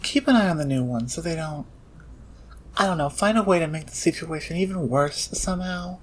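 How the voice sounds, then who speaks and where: A woman's voice: deep voice, a little fast, slightly rough. A woman playing a blunt, proud, brainy heist planner in an audio roleplay, with a clipped, irritable manner. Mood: grudging, irritated. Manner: scolding, sarcastic, clipped. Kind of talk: ASMR audio roleplay acting.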